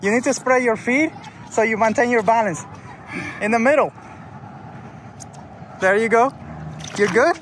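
Excited man vlogging out on the water. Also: extremely high pitch, measured pace, pauses often. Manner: enthusiastic, calls out speeds aloud. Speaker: vlogger reviewing a paddleboard kayak. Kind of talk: casual outdoor demo vlog. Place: outdoors on shallow coastal water. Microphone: action camera on board and shore. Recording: outdoor wind and water noise.

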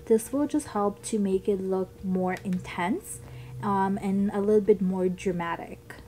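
Young woman doing a makeup tutorial voiceover, childlike voice, soft mellow tone, chatty with lots of ums. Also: mid-range voice, measured pace, slight background noise. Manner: chatty, upbeat product talk with ums. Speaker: beauty vlogger.

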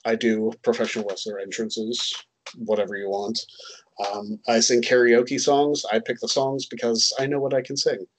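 A man's voice: mid-range voice, flat delivery, normal speed, husky, rough recording. A man in his thirties co-hosting a casual chat on a headset, rambling and self-deprecating with many ums. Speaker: co-host. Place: home room, indoors.

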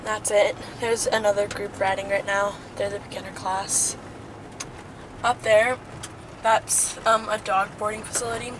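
A woman's voice: medium pitch, flat delivery, a little slow. A woman chatting casually as she films a handheld vlog, rambling and trailing off as she gives a tour. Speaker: vlogger giving a barn tour. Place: horse barn and its grounds. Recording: noisy handheld camera recording.

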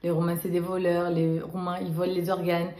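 A woman's voice: fairly low pitch, monotone, a little fast, warm tone, echoey room. A young woman from Romania in her twenties, vlogging a casual storytime to the camera with many fillers and restarts. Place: small room indoors.

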